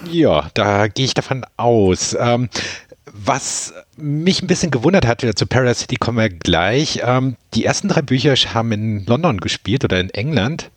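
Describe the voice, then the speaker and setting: A man interviewing an author in a relaxed, chatty way, addressing her informally. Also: medium pitch, moderate pace, no echo. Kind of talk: casual interview conversation.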